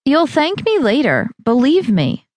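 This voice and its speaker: A woman voicing a pushy, upbeat young female fitness trainer who coaxes loudly. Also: high voice, wildly swooping pitch, unhurried, no echo, pitched above the performer's own voice, heavily acted. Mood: bossy. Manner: pushy, cheerful, loud coaxing.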